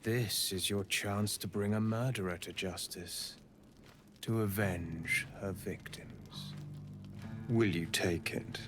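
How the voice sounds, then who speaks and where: An actor playing a solemn otherworldly spirit of justice in a video game, speaking gravely in archaic phrases. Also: male voice, fairly low pitch, steady tone, slow, a bit bright, soft background music. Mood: grave, measured. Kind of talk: video game character dialogue.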